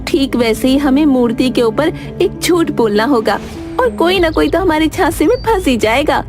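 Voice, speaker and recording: Lady doing a sly swindler wife, high pitched, pushy and boastful, cartoon story. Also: lively intonation, normal speed, music in the background. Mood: scheming.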